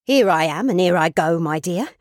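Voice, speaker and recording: Woman playing an excitable old father, bustling, pitch leaping. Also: normal speed, no echo, studio quality, natural voice. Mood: affectionate, flustered. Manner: trotting, breathless, repetitive bustling speech. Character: excitable, kindly old father, a poor ticket porter. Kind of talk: storybook character voice.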